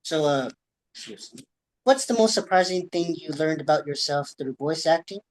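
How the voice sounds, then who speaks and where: A woman interviewer asking questions in a hesitant, halting way. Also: deep voice, animated, poor audio.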